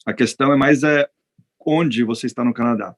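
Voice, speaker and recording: A Brazilian veterinarian answering interview questions in a relaxed, hesitant way, with frequent restarts. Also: male voice, medium pitch, a little fast. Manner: hesitant, many restarts and fillers. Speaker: veterinarian guest. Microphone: video call.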